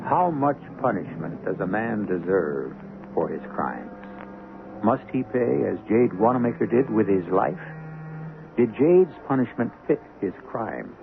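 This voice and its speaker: An American man hosting a radio drama with solemn, measured, rhetorical scripted narration. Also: lowish voice, sing-song, vocal fry, background noise. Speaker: host and narrator. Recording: tinny vintage radio broadcast, music behind.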